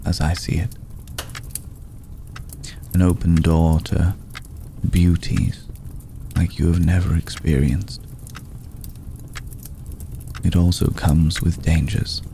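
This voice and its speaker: Man as a very deep, gravelly vampire lord. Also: mellow, slight background noise, heavily acted. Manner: measured, deliberate, solemn, with long pauses. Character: courteous, brooding centuries-old male vampire lord, tender toward a mortal. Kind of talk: slow intimate ASMR roleplay.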